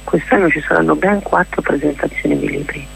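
A woman with a husky, gravelly voice, explaining things in an informal radio interview with hesitant, drawn-out words. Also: low-pitched, fairly bright tone, a little hiss or hum, rough recording. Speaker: festival organiser and performer, interview guest.

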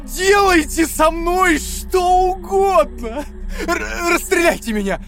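An actor in an audio drama playing a terrified, craven male prisoner who begs desperately in drawn-out pleas. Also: extremely high pitch, lively intonation, soft background music, full character voice. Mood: hysterical begging. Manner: pleading, drawn-out, breaking into cries and shouts.

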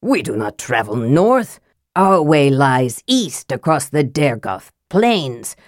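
A woman voicing a male knight possessed into a haughty dwarf prince, stern, impatient and commanding in a lowered voice. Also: big pitch swings, takes it slowly, no echo, studio quality, full character voice.

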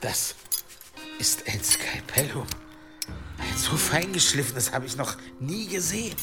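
An actor playing an earnest, careful medieval monk and physician in a naturalistic radio drama. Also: male voice, fairly high pitch, big pitch swings, unhurried, music in the background. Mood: guarded, questioning.

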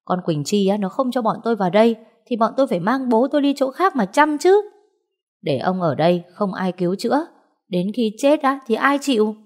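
A woman voicing a brash, greedy, quarrelsome middle-aged aunt who wails and scolds loudly and crudely in an audio story. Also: fairly high pitch, lively intonation, a little fast, voice pushed up. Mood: indignant, scolding. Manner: loud, crude wailing and scolding.

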